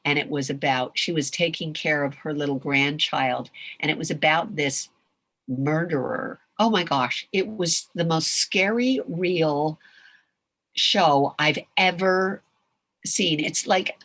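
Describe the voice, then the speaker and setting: Low-voiced Canadian woman, dry sound. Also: slow, no room sound. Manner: animated, rambling, with frequent restarts. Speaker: voice actress guest. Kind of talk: casual chat in a video call Q&A. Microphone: video call.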